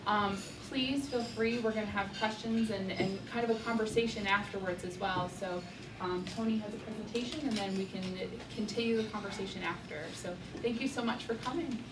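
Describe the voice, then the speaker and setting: A woman's voice: mid-range voice, a little fast. A woman hosting an event, introducing the guest speaker in a friendly way with many fillers. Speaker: event host. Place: event room with audience. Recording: echoey room, distant mic, audible noise.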